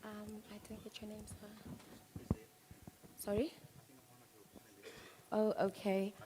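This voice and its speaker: Female moderator, mid-pitched, upbeat and encouraging, long hall reverb. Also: monotone, slow, background noise. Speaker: panel moderator. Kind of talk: moderating a panel. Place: conference hall.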